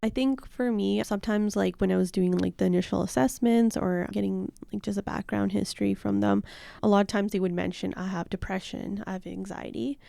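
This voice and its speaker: A woman's voice: mid-range voice, fairly even intonation, fairly quick, creaky, hardly any pauses, warm tone, no room sound. A woman guest describing client assessments in a loose, casual way, heavy on "like" and "you know". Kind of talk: casual podcast conversation.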